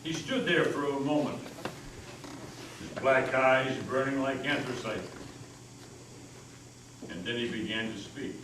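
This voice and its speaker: A man narrating a dramatic reading of a story in a measured, theatrical way. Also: mid-range voice, steady tone. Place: meeting house hall. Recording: echoey hall from far off, noisy.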